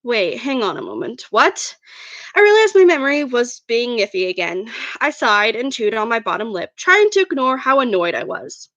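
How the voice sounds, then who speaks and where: A woman's voice: fairly high pitch, sing-song, normal speed, fairly bright tone, dry recording, dull audio. A woman reading her own fan fiction aloud as first-person narration, an amateur who stumbles over words now and then.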